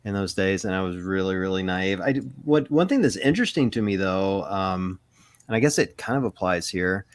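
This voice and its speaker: A man's voice: low-pitched, animated, fairly quick. A middle-aged man from Mississippi chatting casually and rambling a bit as co-host of a live Q&A. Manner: rambling, casual, swears freely.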